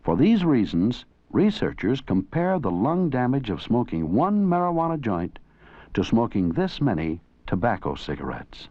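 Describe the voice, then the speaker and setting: A man's voice: mid-range voice, wildly swooping pitch, normal speed, warm tone, no echo. A man narrating an educational film from a script, in an earnest, didactic way. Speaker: documentary narrator and presenter. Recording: dull 1980s educational film soundtrack.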